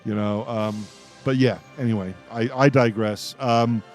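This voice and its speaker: A man hosts a casual roundtable in a medium-pitched voice. His delivery is wry and mock-exasperated. Faint music sits behind him. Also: fairly even intonation, a little slow. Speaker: radio show host. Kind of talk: casual panel discussion.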